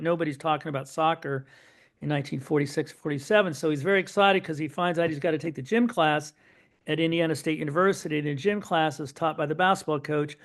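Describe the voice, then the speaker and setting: A middle-aged man, his voice sitting a touch high for a man. He tells long family anecdotes in a lively, rambling way, stacking one aside on another. His pitch rises and falls a lot as he talks. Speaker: podcast guest, son of Holocaust survivors. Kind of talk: storytelling in an interview.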